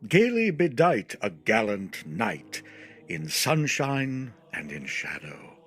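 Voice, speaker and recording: A man giving a theatrical poetry recitation in a declamatory, deliberate manner with drawn-out phrases. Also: fairly low pitch, very animated, fairly bright tone, breathy edge. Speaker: poetry reader. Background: music.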